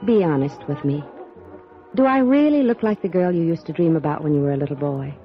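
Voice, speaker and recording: A woman's voice: deep voice, expressive, fairly quick, soft background music. Poised young radio-drama woman, muffled vintage sound. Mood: intent, curious. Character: poised young woman, earnest believer in psychic phenomena. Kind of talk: 1950s radio drama acting. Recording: dull vintage radio recording, sometimes telephone.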